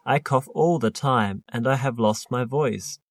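A man's voice: medium pitch, measured pace, no echo, clean studio sound. A man reading the part of a worried male patient who smokes, in a scripted textbook dialogue, with plain, careful reading. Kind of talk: scripted listening-test dialogue.

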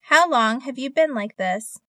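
A woman's voice: sits high, expressive, unhurried, fairly bright tone, no echo. A woman playing a no-nonsense doctor. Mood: dry. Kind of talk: scripted listening-test dialogue.

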